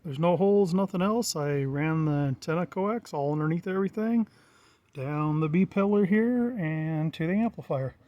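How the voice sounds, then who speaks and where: A man's voice: on the high side, normal speed, mellow, highs cut off, slight background noise. A middle-aged American man giving a casual, unscripted walkthrough in a plain-spoken, matter-of-fact way. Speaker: hobbyist presenter.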